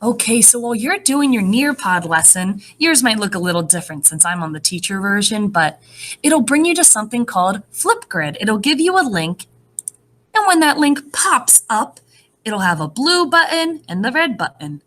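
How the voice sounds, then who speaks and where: A woman with a fairly high voice teaches first graders, reading aloud and explaining things to them. Her pitch moves around a great deal as she talks. Her manner is encouraging and clearly aimed at young children. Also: moderate pace. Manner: animated, encouraging, child-directed. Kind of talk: read-aloud and lesson addressed to young children.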